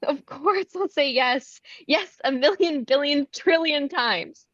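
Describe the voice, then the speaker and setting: A woman's voice: high-pitched, takes it slowly, a bit bright, dry recording. A woman playing an adoring, newly engaged woman at a screenplay table read, slightly dull recording. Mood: overjoyed.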